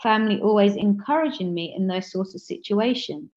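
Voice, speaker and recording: A woman's voice: mid-range voice, fairly quick, a little mellow. A woman, a guest author in a casual interview, talking earnestly and correcting herself often. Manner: earnest, many restarts and self-corrections. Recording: slightly dull lo-fi audio.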